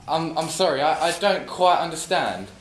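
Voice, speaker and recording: A man's voice: on the high side, lively intonation, normal speed, a bit bright, a little hiss or hum. An actor playing a desperate employee who stammers and pleads to keep his job. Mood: pleading. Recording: roomy echo.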